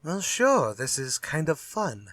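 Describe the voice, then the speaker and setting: An actor playing a glib, unafraid writer in a retro radio drama, with flippant, old-fashioned phrasing. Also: male voice, on the high side, very animated, slow, fairly bright tone, dry recording, heavily acted. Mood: curious, eager. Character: glib, unafraid male writer sparring with his villain.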